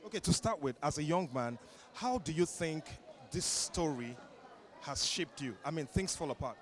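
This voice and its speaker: A man poses questions as an interviewer. His voice is fairly high and moves up and down a lot, sounding a little off-mic. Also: expressive, normal speed.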